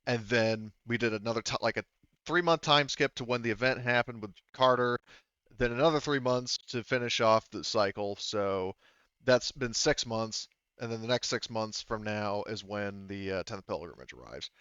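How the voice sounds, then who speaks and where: A man's voice: lowish voice, normal speed, a bit bright, smooth, no echo, dull audio. A man casually explaining things as game master in a tabletop roleplaying game.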